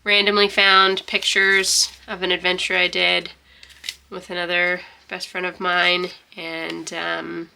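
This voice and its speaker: A woman's voice: on the low side, monotone, slow pace, vocal fry, sharp tone, a little hiss or hum. A young woman with a stuffy, congested voice, chatting casually and rambling through a journal flip-through. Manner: rambling, chatty, many fillers and restarts. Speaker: journaling vlogger.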